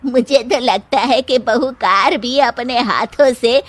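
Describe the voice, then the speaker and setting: A woman's voice: fairly high pitch, takes it slowly, a bit bright, no room sound. Woman acting a fond, teasing older mother-in-law.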